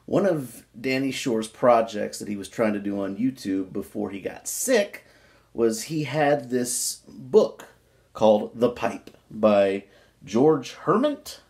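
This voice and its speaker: A man with a folksy, informal manner, chatting casually and reading aloud from a book in an unhurried way. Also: mid-range voice, expressive, creaky, a little mellow. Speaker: pipe-smoking video creator reading a book aloud.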